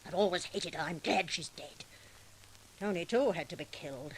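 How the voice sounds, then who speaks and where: An actress playing a domineering, scheming murderess in 1940s radio drama style, commanding and coldly coaxing in a melodramatic way. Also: female voice, fairly low pitch, moderate pace, close to an everyday voice. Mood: cold contempt. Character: domineering, scheming murderous woman controlling a weaker man. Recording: hissy, noisy 1940s radio recording.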